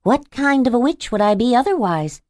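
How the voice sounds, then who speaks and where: A woman's voice: a little high, big pitch swings, normal speed, darkish tone, no echo, studio quality, voice pushed up. A woman narrator voicing a friendly woman shopkeeper in a witch costume who speaks in a playful, kind way.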